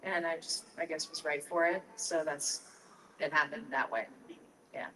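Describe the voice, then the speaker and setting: A woman's voice: deep voice, steady tone, normal speed, long reverb tail, noisy background. A woman whose first language is Russian, a voice actor answering panel questions briefly. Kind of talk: casual panel talk. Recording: distant mic in a panel room.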